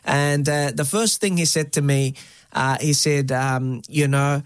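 A man's voice: fairly high pitch, fairly even intonation, measured pace, smooth, dry recording, studio quality. A middle-aged man from Egypt, whose first language is Arabic, telling a personal story as an interview guest, with many fillers and restarts.

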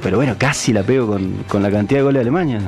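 A man's voice: mid-range voice, rapid-fire, darkish tone, soft background music. A man with an Argentine accent, a guest chatting casually on a radio show, using voseo and fillers like "viste" and "como". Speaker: guest, embassy staff.

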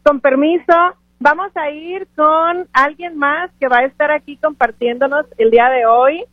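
A woman's voice: high-pitched, steady tone, normal speed, no room sound. A woman hosting a live radio interview in an effusive, encouraging manner. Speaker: radio host interviewing at an event. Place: live remote broadcast from a conference. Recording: phone-like radio broadcast sound.

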